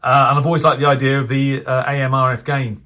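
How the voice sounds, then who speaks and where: Bright-voiced British man reviewing gear. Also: mid-range voice, little pitch movement, fast talker. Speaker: radio hobbyist reviewer, channel host. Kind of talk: unscripted spoken product review. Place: indoors, off camera at a desk.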